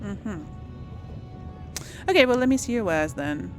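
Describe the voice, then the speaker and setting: A woman's voice: mid-range voice, animated, unhurried, faint music behind. A woman hosting a casual gaming let's play, thinking aloud in a hesitant, conversational way. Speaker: gaming video host.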